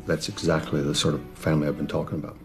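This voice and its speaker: An American man speaking in a documentary interview as an expert, with a gravelly voice. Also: extremely low pitch, flat delivery, racing, soft background music. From rural Georgia, United States. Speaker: criminal profiler interviewee.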